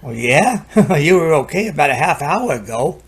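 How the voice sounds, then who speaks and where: A man's voice: on the high side, sing-song, moderate pace, slightly rough, exaggerated voice. A man playing a cocky repairman in an old-time radio drama, talking in a casual, slangy, wisecracking way. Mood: breezy, teasing. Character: breezy, cocky male repairman hero and storyteller.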